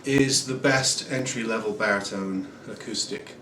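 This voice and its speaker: A man in his forties giving a relaxed home guitar review, rambling with many ums and uhs. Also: lowish voice, measured pace. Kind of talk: casual product review. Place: small room at home, indoors. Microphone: camera mic at a distance. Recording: roomy, distant camera audio with noise. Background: acoustic guitar playing.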